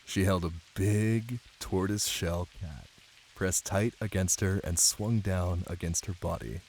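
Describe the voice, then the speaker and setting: A man doing a cozy boyfriend ASMR bedtime reading, soft, intimate and playful. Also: sits low, steady tone, a little slow. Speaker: ASMR voice actor playing a caring boyfriend. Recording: light hiss under rain ambience. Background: rain.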